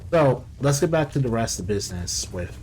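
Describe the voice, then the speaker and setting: A man's voice: medium pitch, expressive, brisk, darkish tone, slight background noise, low-quality recording. A man giving casual gameplay commentary as the host of a gaming video. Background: game music.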